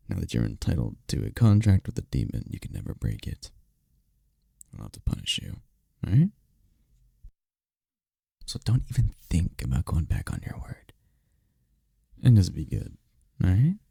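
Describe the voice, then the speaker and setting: A man playing a tender, teasing incubus demon boyfriend in an intimate ASMR roleplay, speaking in a breathy, soothing, soft-spoken way. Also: wildly swooping pitch, measured pace, pauses often, dry recording, clean studio sound, full character voice. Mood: teasing, playful. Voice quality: breathy, gravelly low voice.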